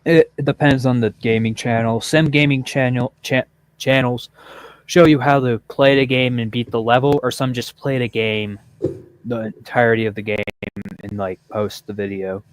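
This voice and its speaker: A man's voice: mid-range voice, steady tone, normal speed, no echo. Shy young man giving short answers. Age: young adult. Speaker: junior producer, guest. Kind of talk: casual live stream chat.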